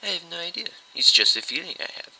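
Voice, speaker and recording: A man voicing an excitable, fussy Belgian detective. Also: fairly high pitch, fast talker, breathy edge, pitched above the performer's own voice, exaggerated voice, low-quality recording. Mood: insistent. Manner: fussy, insistent.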